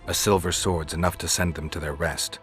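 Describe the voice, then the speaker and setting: Deep gravelly man, world-weary monster hunter, terse, dry sarcasm, fantasy game dialogue. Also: fairly even intonation, brisk, fairly bright tone, faint music behind. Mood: businesslike. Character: gruff, sardonic monster-hunting warrior for hire. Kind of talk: video game dialogue acting.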